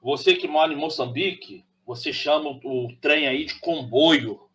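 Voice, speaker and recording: A man's voice: on the high side, unhurried, a bit bright. A man from Rio de Janeiro with a Carioca accent, delivering an opinionated monologue as a channel host, preachy and full of rhetorical questions. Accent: Carioca Brazilian Portuguese. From Rio de Janeiro, Brazil.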